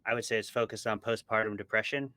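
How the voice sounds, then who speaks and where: A man's voice: on the low side, fairly even intonation, fast talker, pushed and bright, dry recording. A man being interviewed as an author, talking in a casual, explanatory and conversational way. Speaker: interviewed author, podcast co-host.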